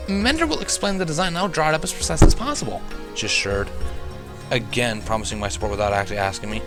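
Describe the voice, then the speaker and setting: Guy reading fanfic aloud, lowish voice, first person narration, soft music behind. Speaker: fan fiction reader.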